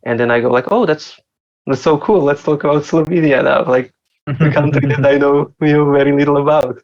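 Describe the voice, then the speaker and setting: Open-mic comic from Slovakia, a man with a gravelly voice, halting delivery, muffled lo-fi sound. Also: on the high side, steady tone, darkish tone. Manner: hesitant, many fillers and restarts. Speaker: stand-up comic.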